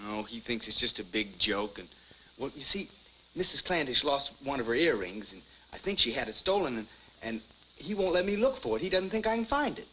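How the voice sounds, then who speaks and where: An actor playing a naive, earnest young amateur detective with boyish, stammering eagerness in a 1950s television comedy. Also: male voice, medium pitch, very animated, a little fast, fairly bright tone. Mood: dejected. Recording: muffled, hissy 1950s television soundtrack.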